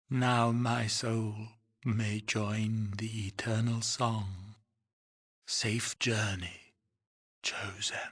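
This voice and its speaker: An aged village mystic for a video game. He speaks very slowly in a breathy, husky voice on the low side. His phrasing is solemn, ceremonious and full of cryptic poetry. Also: fairly bright tone, exaggerated voice. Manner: slow, ceremonious, poetic phrasing. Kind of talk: dramatic video game character acting.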